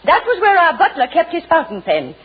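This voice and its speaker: Casting: superior society dame, very formal, high expressive voice, vintage radio comedy. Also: female voice, brisk, exaggerated voice. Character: genteel, haughty society lady, proud of her dogs. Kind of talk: 1940s radio comedy acting. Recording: muffled 1940s radio, faint hiss and audience.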